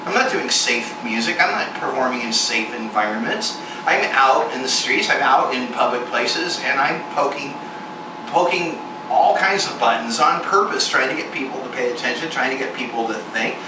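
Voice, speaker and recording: A man in his forties giving a candid, self-mocking personal testimony sermon, with sudden jumps in pitch for emphasis. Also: animated, normal speed, far from the mic. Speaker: ministry performer speaking to a congregation. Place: large echoey venue room with air conditioning hum. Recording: echoey room recorded from afar with loud air-conditioner hiss.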